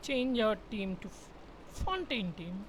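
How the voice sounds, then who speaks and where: A woman's voice: fairly high pitch, big pitch swings, a little slow, slight background noise, low-quality recording. A woman giving casual commentary as a game streamer.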